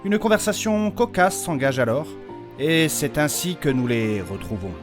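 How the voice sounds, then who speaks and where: A man's voice: on the high side, animated, normal speed, lightly acted. A man narrating a fantasy audio saga in a solemn, dramatic scripted storyteller style. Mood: solemn suspense. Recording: music behind the voice.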